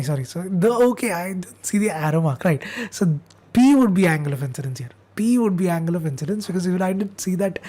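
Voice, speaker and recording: A woman's voice: fairly low pitch, warm tone, slightly rough. A young woman, teaching an upbeat exam revision class and coaxing viewers to answer in the chat, while she is losing her voice. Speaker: online tutor.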